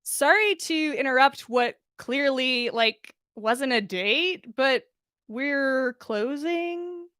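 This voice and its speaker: Casting: a woman as a young slacker waitress in a storybook, female, slow, drawling, unimpressed. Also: sits high, bright, forceful tone, smooth, dry recording, clean studio sound, exaggerated voice. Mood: bored, sarcastic. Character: bored, gum-popping young waitress with slacker attitude.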